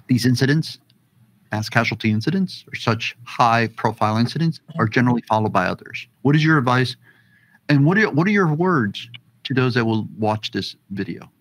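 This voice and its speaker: A man's voice: mid-range voice, fairly bright tone, low-quality recording. A man hosting a podcast interview in a studio, posing questions and thanking his guests. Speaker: podcast host.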